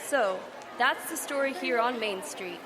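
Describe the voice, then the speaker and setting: Carla Phillips, a woman playing a brisk field reporter. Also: fairly high pitch, close to an everyday voice. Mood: reporting. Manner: brisk, newsy delivery. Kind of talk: mock live news report. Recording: loud crowd and street noise.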